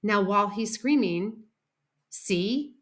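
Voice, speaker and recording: A woman's voice: medium pitch, expressive, slow. Woman reading as a schoolboy friend, alarmed, urgent, plain-spoken, storybook dialogue.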